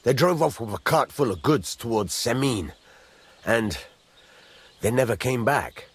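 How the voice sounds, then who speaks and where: An actor playing a blunt, earthy medieval blacksmith boss in a video game, with a rough voice. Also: male voice, mid-range voice, expressive, unhurried. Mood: businesslike. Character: gruff, plain-spoken medieval blacksmith boss.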